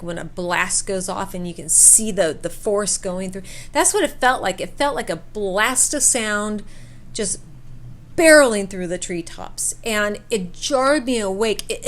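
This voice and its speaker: A woman telling a personal story casually, acting out bits of dialogue and repeating words for emphasis. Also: medium pitch. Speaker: storyteller sharing a personal camping experience.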